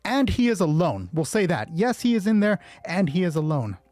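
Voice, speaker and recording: A man's voice: high-pitched, big pitch swings, moderate pace, a little mellow, no room sound. A man hosting a solo roleplay game as game master, moving between terse story narration and informal commentary on play. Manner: informal, swearing, thinking aloud during play. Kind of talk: hushed scripted story narration alternating with casual game commentary. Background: music.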